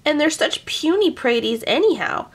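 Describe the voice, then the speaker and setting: A woman's voice: high voice, expressive, moderate pace, voice pushed up. A woman voicing a lazy, boastful, complaining peasant husband in a storybook tale. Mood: self-pitying.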